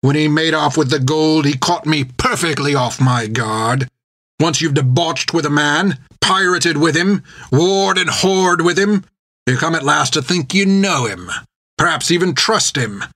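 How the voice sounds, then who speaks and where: A man's voice: on the high side, a little slow, a bit bright, no echo, pitched above the performer's own voice. A man voicing a cold, scornful male pirate captain with a sneering, mocking delivery.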